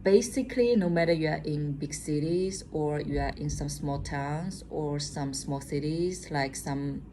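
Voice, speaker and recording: Low-voiced chatty woman with a Chinese accent. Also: measured pace, dark tone, a little hiss or hum. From China. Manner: chatty, repeats "okay?" and "like, say". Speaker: vlogger. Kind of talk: casual chat to camera. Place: room at home at night.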